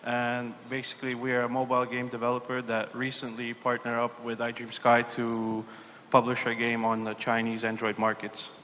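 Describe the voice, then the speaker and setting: Flat-voiced man on a panel. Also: mid-range voice, moderate pace. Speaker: panelist, head of publishing. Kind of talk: conference panel talk. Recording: hall reverb with faint room noise.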